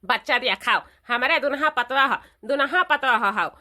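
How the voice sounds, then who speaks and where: Elderly village matriarch for cartoon female performer, eastern Uttar Pradesh accent, sharp high voice, kindly but bossy. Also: moderate pace. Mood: kindly instructing. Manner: questioning, repetitive, instructing. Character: bossy, kindly old village mother-in-law. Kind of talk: cartoon dialogue.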